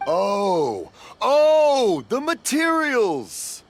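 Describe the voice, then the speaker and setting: A man's voice: extremely high pitch, huge pitch swings, very slow, slight background noise, full character voice. A man playing a kindly, cheerful old father in a video game, hard of hearing, genial and rambling. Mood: bemused. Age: older.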